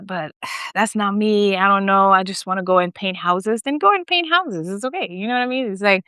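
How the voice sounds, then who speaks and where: Animated woman podcast co-host, crisp dry sound. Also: medium pitch, dry recording. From West Africa, Senegal. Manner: animated, lots of fillers and restarts, laughs often. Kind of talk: casual podcast conversation.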